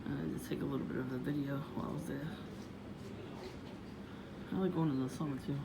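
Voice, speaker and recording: A woman vlogging casually about her day in a low voice, meandering with many fillers and restarts. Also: fairly even intonation, fast, vocal fry. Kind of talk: casual rambling vlog. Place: outdoors, windy. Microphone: phone. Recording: noisy phone recording.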